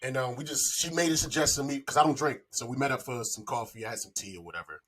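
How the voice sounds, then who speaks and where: A man's voice: medium pitch, quick pace, fairly bright tone, slight background noise. A man in his forties chatting casually and telling personal stories, with profane, slangy talk and a habit of repeating himself. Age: 40s. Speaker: podcast guest. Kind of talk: casual chat, personal anecdotes.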